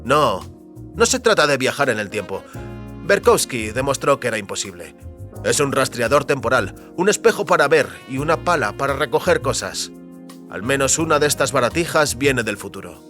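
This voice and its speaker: An actor playing a wary, determined man trapped between powerful forces, speaking deliberately in an audio drama. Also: medium pitch, big pitch swings, pushed and bright, soft background music. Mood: reasoning aloud.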